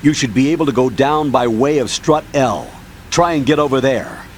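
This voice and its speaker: A man playing a brisk, authoritative military colonel briefing in a video game. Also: mid-range voice, slight background noise, lightly acted. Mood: instructive.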